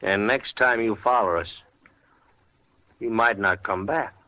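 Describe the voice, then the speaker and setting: An actor playing a surly, menacing outlaw in a radio western, curt and challenging. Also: male voice, on the low side, measured pace, bright, forceful tone. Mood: threatening. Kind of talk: 1950s radio western acting. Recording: muffled old-time radio recording.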